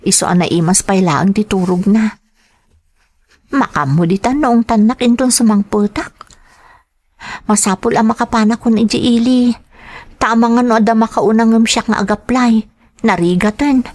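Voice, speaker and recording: A woman playing a dutiful, long-suffering young elder sister in an audio drama, earnest and gentle, with a Filipino accent. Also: on the high side, normal speed, pauses often, no room sound, lightly acted. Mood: pensive. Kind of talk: Ilocano audio drama acting.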